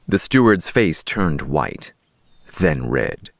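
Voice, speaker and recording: A man's voice: a bass voice, sing-song, slow, creaky, no room sound, clean studio sound. A man narrating an audiobook story in a scripted storytelling style.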